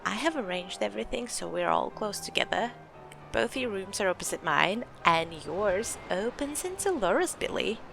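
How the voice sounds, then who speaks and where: A woman's voice: on the high side, gravelly. A woman playing a wealthy, playful host of a country house in an audio drama, self-assured and teasing. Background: music.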